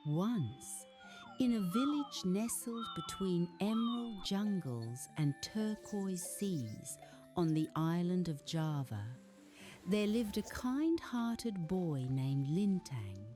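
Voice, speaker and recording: Woman reading a kids bedtime story, real slow n soothing, voice swoops up and down, soft music. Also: lowish voice, expressive. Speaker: children's bedtime story narrator. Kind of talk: scripted storytelling narration.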